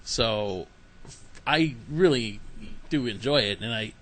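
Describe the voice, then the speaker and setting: A man's voice: mid-range voice, animated, slow pace, heavy background noise. Chatty male podcast host. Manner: chatty, rambling, repeats words. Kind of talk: casual interview chat.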